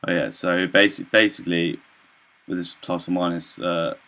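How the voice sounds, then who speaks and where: Low-voiced young man, hesitant presenter. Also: steady tone, bright, forceful tone. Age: young adult. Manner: hesitant, halting, loosely explanatory. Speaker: student presenter. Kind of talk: informal software demonstration.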